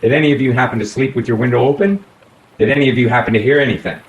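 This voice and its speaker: A man playing a courteous, probing detective in a radio play, measured and theatrical. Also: medium pitch, natural voice. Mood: inquisitive. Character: probing, courteous male detective aboard a boat. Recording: echoey, faintly noisy room recording.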